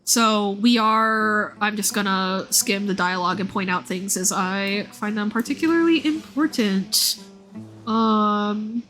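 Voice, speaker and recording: A woman's voice: medium pitch, steady tone, slow, fairly bright tone. A woman game streamer chatting casually and commenting as she plays, informal and meandering as she thinks aloud. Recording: music playing behind the voice. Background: background music.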